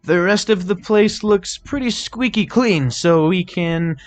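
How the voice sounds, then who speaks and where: Man playing male pony thief, high pitch, nervous, hesitant, timid sidekick, storybook reading. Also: a little slow. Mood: nervous lying. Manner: hesitant, jittery.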